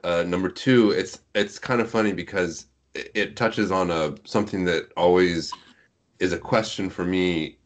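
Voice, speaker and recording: A man's voice: low-pitched, fairly even intonation, normal speed. A man, around his thirties, chatting casually on a podcast, explaining things in a self-deprecating way. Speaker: guest, literary translator. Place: at home on an internet call.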